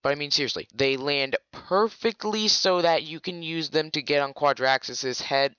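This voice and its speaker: A man's voice: fairly high pitch, moderate pace, pushed and bright, clean tone, no room sound, lo-fi sound. A man giving scripted commentary for a gaming video, sarcastic and profane, with ranting asides.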